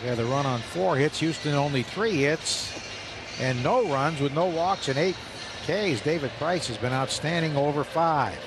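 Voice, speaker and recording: Radio baseball announcer with crowd noise. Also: male voice, lively intonation. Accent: American. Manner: measured, rises in pitch on big plays. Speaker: play-by-play announcer.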